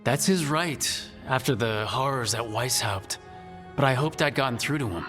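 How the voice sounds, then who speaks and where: An actor playing a pragmatic male team leader in a video game, measured and reassuring. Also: medium pitch, expressive, a bit bright, close to an everyday voice. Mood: resolute. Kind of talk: video game dialogue acting. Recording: long hall reverb, music underneath.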